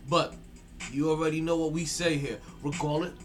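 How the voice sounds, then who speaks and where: A man's voice: on the high side, level tone, moderate pace, music in the background. A man hosting a sports podcast in a hyped, slangy way, a bit stuffy-nosed from allergies and repeating his words. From South Florida. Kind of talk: casual sports talk.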